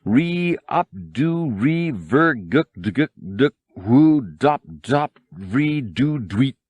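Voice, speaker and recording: A man's voice: mid-range voice, animated, slow, slightly rough, no echo, dull audio, voice pushed up. A man voicing a small feisty droid in nonsense syllables that imitate angry electronic beeps. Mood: vehement.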